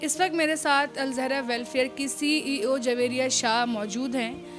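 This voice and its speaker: A woman's voice: high-pitched, steady tone, measured pace. A woman with a Pakistani accent hosting an on-location interview, asking wordy questions sprinkled with some English words. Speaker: interviewer and host. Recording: music laid under the voice.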